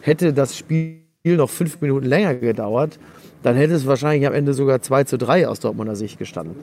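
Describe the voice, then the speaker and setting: A man's voice: medium pitch, fairly quick. A man co-hosting a football podcast, giving his views in casual, conversational talk.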